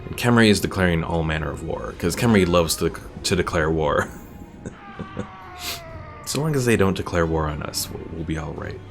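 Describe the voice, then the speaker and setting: Deep, gravelly male game streamer. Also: expressive, moderate pace. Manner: thinks aloud, reads game text out. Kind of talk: casual game commentary. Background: game music.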